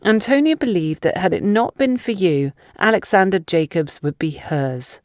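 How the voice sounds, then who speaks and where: A woman's voice: fairly low pitch, lively intonation, normal speed, a little mellow, dry recording, close to an everyday voice. Audiobook role: defensive young woman, performed by a female narrator, pleading her innocence in a dry, close recording. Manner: insistent, self-justifying. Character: defensive young woman excusing herself to accusers. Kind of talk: audiobook character dialogue.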